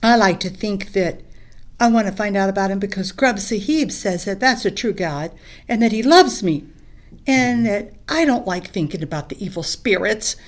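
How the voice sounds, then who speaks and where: A woman's voice: mid-range voice, expressive, moderate pace, close to an everyday voice. A woman voicing a curious schoolboy who earnestly insists on what he wants. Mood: determined.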